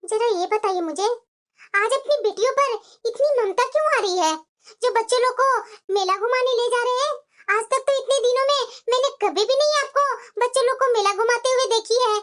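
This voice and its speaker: Very high, sharp cartoon wife acted by a woman. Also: fairly quick. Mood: questioning, suspicious. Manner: reproachful, insistent, lecturing. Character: outspoken wife and devoted mother of daughters. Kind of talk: Hindi family moral cartoon acting.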